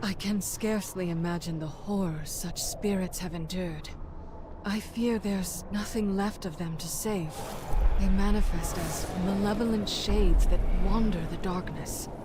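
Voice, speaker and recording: An actress playing a weary, resolute mage in a video game, speaking formally and solemnly. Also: female voice, mid-range voice, measured pace, background noise, close to an everyday voice. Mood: bleak. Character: weary, embattled female mage, grim and resolute. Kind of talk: dramatic video game dialogue. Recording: loud game ambience behind the voice.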